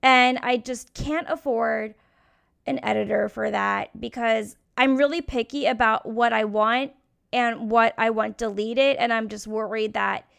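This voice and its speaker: A woman's voice: fairly high pitch, fairly even intonation, unhurried, vocal fry, fairly bright tone, smooth. A young woman hosting her own podcast, talking candidly in a casual solo monologue full of "like" fillers. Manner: candid, frank, frequent "like" fillers. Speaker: podcast host.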